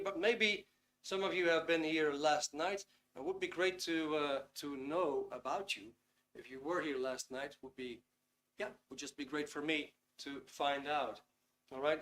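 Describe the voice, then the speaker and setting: Dutch man hosting a webinar, fairly high. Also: a bit bright. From Netherlands. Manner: chatty, reads out chat comments, frequent ums. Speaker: webinar host. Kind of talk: casual live webinar talk.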